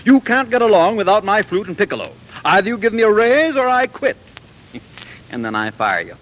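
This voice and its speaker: A man's voice: big pitch swings, a little fast, a bit bright, rough recording. A man playing a jovial, fatherly older bandmaster, teasing and courteous, in 1940s radio drama style. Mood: teasing, animated. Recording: old radio broadcast with rumble.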